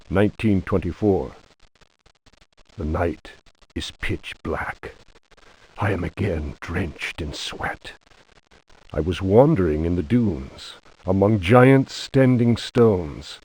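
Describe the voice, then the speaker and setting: A man's voice: on the low side, dry recording, poor audio. An actor playing a haunted, anxious painter who is sliding into madness, reading his diary in a theatrical, brooding way for a horror video game. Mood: brooding, troubled.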